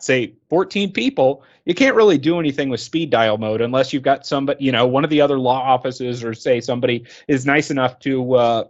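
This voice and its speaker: Higher-pitched man giving informal product training. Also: a little mellow, highs cut off. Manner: conversational, explanatory, uses everyday examples. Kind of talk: informal instructional explanation.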